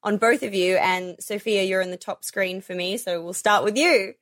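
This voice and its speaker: A woman's voice: mid-range voice, moderate pace, a bit bright, dry recording, clean studio sound. A British woman hosting a podcast interview, bubbly and enthusiastic. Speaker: podcast host.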